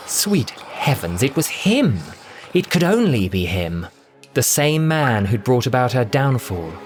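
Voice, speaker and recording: Deep-voiced male storyteller over music. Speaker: audiobook narrator and voice performer. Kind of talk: dramatic scripted story narration. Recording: loud music bed under the voice.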